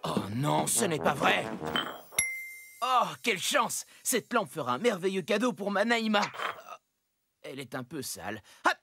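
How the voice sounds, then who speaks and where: A cheerful, sly young-hearted trickster husband in a cartoon. The voice swings widely and coaxes theatrically, with loud music underneath. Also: male voice, high voice, very animated, a little slow, creaky, long pauses, heavily acted. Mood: relieved, delighted. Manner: theatrical, coaxing, exclamatory. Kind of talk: cartoon acting.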